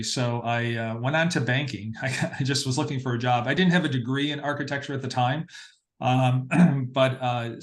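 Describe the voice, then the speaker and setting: A middle-aged American man from Massachusetts telling his story as an interview guest, pausing often as he finds his phrasing. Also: medium pitch, a bit bright. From Massachusetts, northeastern United States. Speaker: interview guest, parade producer.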